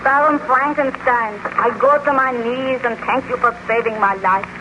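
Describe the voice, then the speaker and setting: A woman's voice: on the high side, normal speed, close to an everyday voice. An actress playing a humble, earnest servant girl in 1930s radio melodrama, with declamatory delivery. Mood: grateful. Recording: muffled, noisy 1930s radio recording.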